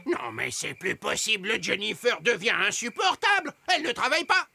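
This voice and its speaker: A woman's voice: a little high, wildly swooping pitch, normal speed, gravelly, bright, forceful tone, soft background music, full character voice. A woman voicing a hardworking, crafty farm donkey in a children's cartoon, with an exasperated, theatrical way of talking. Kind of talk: animated children's cartoon dialogue.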